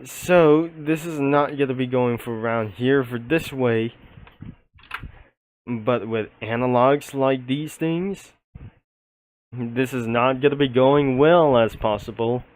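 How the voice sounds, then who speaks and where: A man's voice: mid-range voice, takes it slowly. A man giving unscripted video commentary in a rambling, roundabout way. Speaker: video creator presenting a comic studio.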